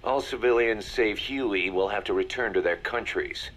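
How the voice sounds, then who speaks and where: A man's voice: fairly low pitch, moderate pace, a bit bright. A man playing a shrewd, hard-nosed mercenary second-in-command, assured and businesslike, in a video game audio drama.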